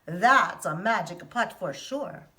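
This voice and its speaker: A woman voicing an eager, scatterbrained young man in a put-on Italian accent, with loud, drawn-out exclamations. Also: lowish voice, lively intonation, heavily acted. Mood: amazed.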